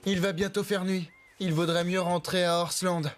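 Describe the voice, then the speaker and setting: A man voicing a calm, sensible young horse rider in a children's cartoon, practical and reassuring. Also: high voice, moderate pace, over music, natural voice. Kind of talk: French cartoon dub acting.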